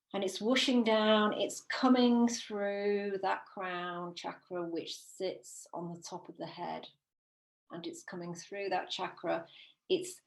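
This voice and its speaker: A woman leading a guided visualisation as a meditation teacher, soothing and unhurried, with long pauses between phrases. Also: fairly low pitch. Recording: roomy, echoing sound.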